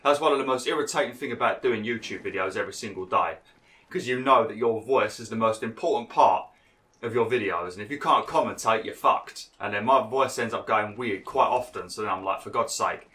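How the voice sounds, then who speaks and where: Bright-toned lad with a froggy throat. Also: male voice, fairly low pitch, fairly quick. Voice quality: slightly nasal, froggy throat. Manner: chatty, rambling asides. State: hoarse, a bit ill. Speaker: gaming YouTuber. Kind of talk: casual gameplay commentary.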